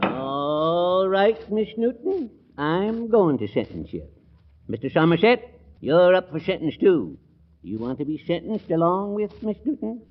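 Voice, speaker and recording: An actor playing a crusty, excitable small-town judge in a 1940s radio comedy, folksy and blustering, tripping over his words. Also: high voice, a little slow, a little hiss or hum. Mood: flustered. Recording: dull lo-fi 1940s radio recording.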